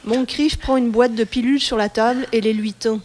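A woman's voice: fairly high pitch, fairly even intonation, fairly quick, darkish tone. A woman reading stage directions as the narrator of a scripted drama.

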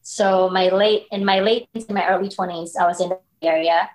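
A woman's voice: on the low side, fairly even intonation, fairly quick, darkish tone. Guest, woman in her 30s, candid storyteller, loose chatty phrasing. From Philippines. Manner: candid, storytelling, frequent likes and you knows. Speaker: podcast guest. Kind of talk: casual interview conversation.

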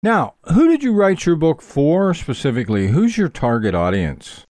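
A man's voice: on the high side, sing-song, normal speed, a little mellow, dry recording. A man hosting an author interview show with polished, scripted presenter delivery and short questions to his guest.

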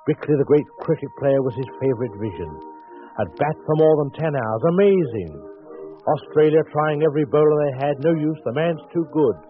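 An actor playing a wry, theatrical mystery storyteller, narrating deliberately in 1940s radio style. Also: male voice, a little high, natural voice. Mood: mock grand. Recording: muffled vintage 1940s radio broadcast with music.

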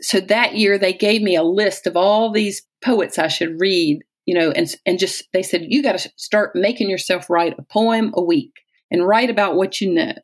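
A woman's voice: medium pitch, fairly even intonation, moderate pace, few pauses. An American woman in her sixties from Southwest Virginia, telling personal stories conversationally, as if in an interview, often quoting people she has known. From Southwest Virginia, United States. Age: 60s. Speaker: interviewee, retired-age physician and poet.

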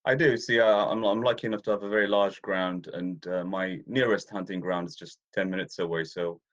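Deep low guy from ethiopia chatting about his hobby, lots of uhs, kinda muffled recording. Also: steady tone, a little fast. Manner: rambling, many restarts and fillers. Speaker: interview guest, deer stalker. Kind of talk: casual interview chat. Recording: dull lo-fi audio.

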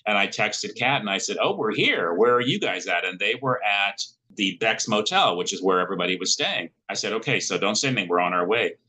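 A man's voice: on the low side, lively intonation, a little fast, bright, forceful tone, a little hiss or hum, rough recording. A man co-hosting a podcast, telling a story in casual, rambling chat with many fillers. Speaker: podcast co-host.

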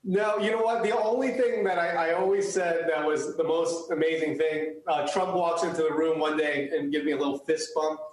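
A man's voice: fairly high pitch, fairly even intonation, normal speed, few pauses. Guy who grew up speaking chinese, earnest, lots of restarts, echoey room. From United States. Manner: earnest, many restarts and fillers. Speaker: guest, photographer. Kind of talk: interview answers.

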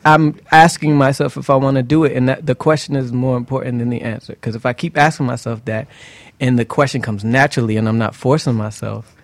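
A man's voice: mid-range voice, steady tone, fairly quick, dry recording. An American man chatting casually as an interview guest, earnest and reflective, often checking that he is understood. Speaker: guest singer and musician.

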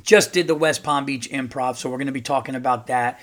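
Slightly hoarse man hosting a podcast. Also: medium pitch, steady tone, fairly quick. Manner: rambling, chatty, many fillers and restarts. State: slightly hoarse, losing his voice. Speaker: podcast host and comedian. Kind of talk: casual solo podcast monologue.

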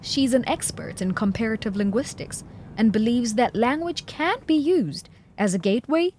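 A young woman narrates a news feature with a bright, animated voice that swings widely in pitch. She sits a little high, and a faint hum runs behind her. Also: normal speed. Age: young adult. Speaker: reporter.

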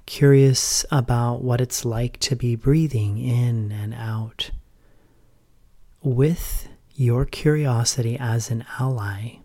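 A man leading a guided meditation as a teacher, in a gentle, measured, calm manner with pauses and soft, breathy phrase endings. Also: medium pitch.

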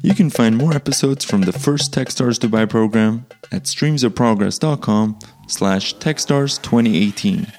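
A man hosting a podcast, introducing the episode and asking friendly, brisk questions. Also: mid-range voice, a little mellow, faint music behind. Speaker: podcast host and interviewer. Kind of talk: podcast interview.

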